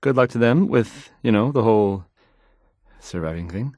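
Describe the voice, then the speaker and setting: Stammering, nervous young radio DJ. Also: male voice, fairly low pitch, animated, a little mellow, dry recording, clean studio sound. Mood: nervous, doubtful. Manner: halting, stammering, hesitant, trailing off. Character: nervous, awkward, unsure young male radio DJ. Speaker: radio news announcer. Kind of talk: bumbling radio news report in a video game.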